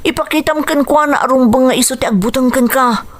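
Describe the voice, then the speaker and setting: A woman's voice: sits high, fairly quick. A woman playing a supportive, eager friend of a boxer in a radio drama, speaking emphatically and reassuringly. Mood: reassuring, urging. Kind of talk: radio drama dialogue in Ilocano.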